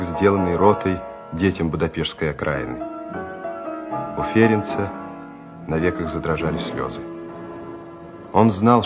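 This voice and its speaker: Male narrator, lowish voice, measured literary delivery; muffled old radio recording. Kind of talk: scripted dramatic narration.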